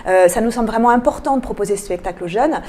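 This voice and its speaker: Woman, arts programmer as radio guest. Soft-edged mellow tone, explanatory, hesitant delivery. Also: a little high, quick pace. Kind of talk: radio interview, presenting a cultural programme. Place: radio interview.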